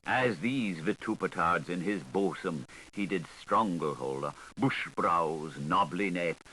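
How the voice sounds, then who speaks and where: Animated male audiobook narrator, noisy older recording. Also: deep voice, slow pace. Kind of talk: literary audiobook reading, dramatized. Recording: older 1980s recording with audible noise.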